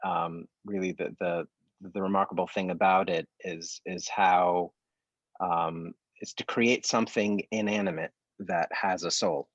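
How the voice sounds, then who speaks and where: A man's voice: low-pitched, fairly even intonation, unhurried, no echo, muffled recording. A man from the United States, a creative director, talking earnestly in a casual panel conversation and searching for his words.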